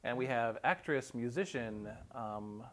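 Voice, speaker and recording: Podcast host, male, mid-range voice, informal and halting, faint noise behind. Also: medium pitch. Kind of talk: casual chat.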